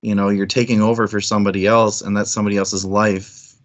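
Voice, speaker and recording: A man's voice: fairly low pitch, little pitch movement, fast talker. A man chatting informally about books as a livestream co-host, rambling and thinking aloud as he goes. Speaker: co-host of a book club livestream. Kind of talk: casual book discussion chat.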